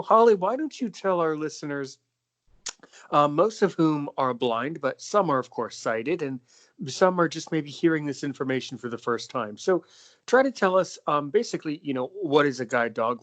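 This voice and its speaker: A man's voice: fairly high pitch, animated, normal speed, fairly bright tone. Rambling young man hosting a podcast. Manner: rambling, many fillers and restarts. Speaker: podcast host. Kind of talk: casual podcast interview.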